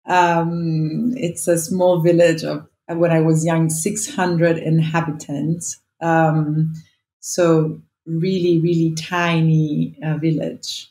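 A woman's voice: low voice, flat delivery, slow pace, dark tone, roomy sound. A woman in her thirties with an Italian accent, a guest chatting reflectively from home over a video call, with many fillers and restarts. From northern Italy. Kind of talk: casual interview chat. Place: home room. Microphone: earbuds on video call.

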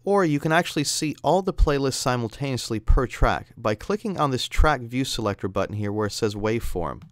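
Clear, dry-sounding male tutorial narrator. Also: mid-range voice, lively intonation, fairly quick, no echo. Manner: explanatory, conversational. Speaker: audio software instructor. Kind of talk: instructional screencast narration.

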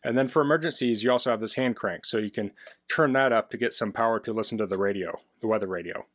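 A man's voice: lowish voice, quick pace, a bit bright, no room sound, studio quality. A man casually demonstrating a product in a plain-spoken, practical, explanatory way, recorded indoors. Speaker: product reviewer. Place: basement, indoors.

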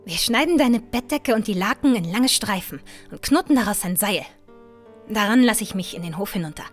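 A woman playing a headstrong, impatient riverboat adventurer in a fantasy audio drama, defiant and emphatic. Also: on the high side. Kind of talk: fan-made fantasy audio drama acting.

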